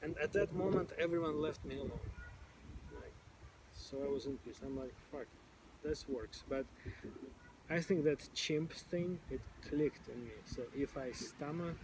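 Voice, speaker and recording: Man, fairly high voice, occasional stutter, casual talk, loud street noise. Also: unhurried. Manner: occasional stuttered repetitions, informal phrasing. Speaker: stammering support group member. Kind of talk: casual conversation.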